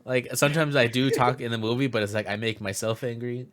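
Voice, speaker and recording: A man's voice: medium pitch, quick pace, a crowd in the background. A man co-hosting a podcast, bantering casually and chiming in with short reactions. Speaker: podcast co-host.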